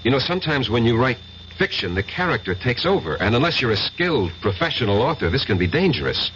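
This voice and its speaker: Casting: weary, skeptical professor in old radio play; swooping, animated delivery, deliberate pauses, noisy broadcast sound. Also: male voice, medium pitch, highs cut off. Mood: dismissive. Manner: deliberate, dramatic pauses, halting. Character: professor, a weary skeptical man, recounting strange events. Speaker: actor in radio drama. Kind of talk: 1970s radio drama acting.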